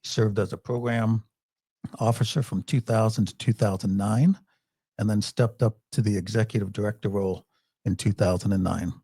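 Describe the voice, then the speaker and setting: A man's voice: lowish voice, steady tone, moderate pace, mellow, slightly rough, dry recording. A man hosting a podcast interview, upbeat, introducing his guest from notes with some fillers. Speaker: podcast host.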